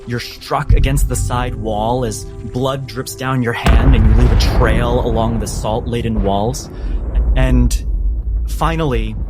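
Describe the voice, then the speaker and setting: Dramatic male game master over music. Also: medium pitch. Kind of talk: narrating a tabletop roleplaying game, dramatic storytelling. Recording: music behind the voice.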